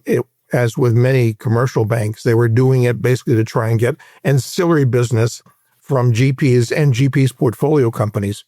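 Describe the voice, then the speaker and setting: A man in his sixties from Hawaii with an American accent, recounting his career in a measured, explanatory way as an interview guest. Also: medium pitch, dark tone, dry recording, studio quality. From Hawaii, United States. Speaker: interview guest, private equity veteran. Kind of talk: conversational career recollection in an interview.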